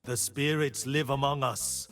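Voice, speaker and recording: An actor playing a stern, wise, burdened blind martial monk from a video game, speaking in solemn, measured proverbs. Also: male voice, medium pitch, fairly even intonation, a bit bright, faint music behind, lightly acted. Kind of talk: dramatic game character voice lines.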